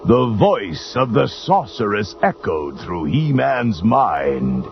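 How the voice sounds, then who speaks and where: A man's voice: fairly low pitch, very animated, slow, gravelly, highs cut off. A man giving dramatic scripted storybook narration. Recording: band-limited old readalong tape with music.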